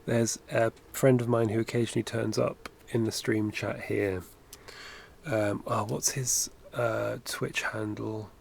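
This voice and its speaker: A man's voice: fairly low pitch, little pitch movement, a little slow, gravelly, a little hiss or hum. A man in his thirties chatting in a rambling, self-correcting way while drawing on a livestream, recorded on a headset at home. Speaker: animation streamer. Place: home studio, indoors.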